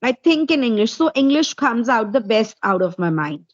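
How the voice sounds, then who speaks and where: A woman's voice: on the high side, very animated, normal speed, dry recording, lo-fi sound. A woman from India, a native Hindi speaker with an Indian accent, answering interview questions as an English tutor and laying out her points one by one. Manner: explains step by step, numbering points.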